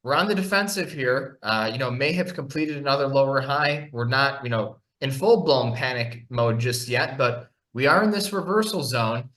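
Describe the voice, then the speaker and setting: A man hosts a market podcast in an easygoing, conversational way. His voice is bright and forceful, at a middle pitch. He fills his sentences with restarts and "you know.". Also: expressive, moderate pace, hardly any pauses. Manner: conversational, many fillers and restarts. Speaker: podcast host and market analyst. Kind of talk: casual market discussion over a shared screen.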